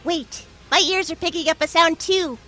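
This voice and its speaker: A woman's voice: very high voice, normal speed, pushed and bright, faint music behind. A woman playing an alert, wry young heroine in a cartoon-style audio drama. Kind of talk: animated-series style audio drama acting.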